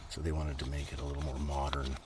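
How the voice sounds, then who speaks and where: A man speaking in a soft, casual ASMR ramble that often slips toward a whisper, with unhurried murmured asides. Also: a bass voice, completely flat delivery. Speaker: host of a comics collection ASMR video. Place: outdoors. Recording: noisy outdoor recording with breeze. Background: breeze, page flipping, ambient noise.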